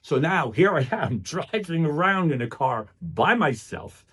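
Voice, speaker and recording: A man's voice: on the high side, sing-song, a little slow, a bit bright, slightly rough. A man delivering a motivational speech in an earnest, emphatic way, often posing rhetorical questions. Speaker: public speaker.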